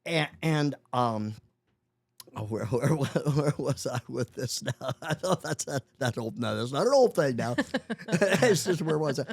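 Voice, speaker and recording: A man's voice: medium pitch, animated, measured pace, vocal fry, slightly rough, no room sound. A man in his eighties, a guest in a casual interview, talking with many restarts and chuckling often. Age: 80s.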